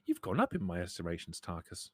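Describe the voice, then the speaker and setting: A man with a deep, low voice chats through a tabletop game. He speaks casually, and the recording is dry and free of echo. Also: wildly swooping pitch, quick pace, husky. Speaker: participant in a tabletop roleplaying game.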